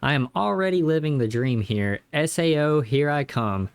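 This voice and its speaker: A man's voice: on the high side, expressive, moderate pace, very noisy. A man narrating a casual scripted recap of a video game, with tongue-in-cheek exaggeration.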